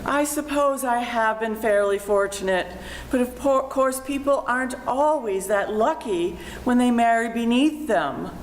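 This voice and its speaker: Snooty society mother for radio comedy, older female amateur, unhurried delivery, faint background noise. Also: fairly high pitch, expressive, low-quality recording. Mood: haughty. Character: haughty, snobbish, easily affronted middle-aged mother. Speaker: amateur actor in a senior theater class. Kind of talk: old-time radio comedy acting.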